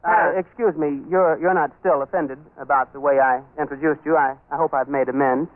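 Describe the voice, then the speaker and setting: A thin, band-limited 1930s radio recording carries an actor as a patronizing straight man. His fairly high male voice is formal and wordy, hesitating politely through a comedy crosstalk act. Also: fairly even intonation, fairly quick, phone-quality sound, slight background noise, natural voice. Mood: politely probing.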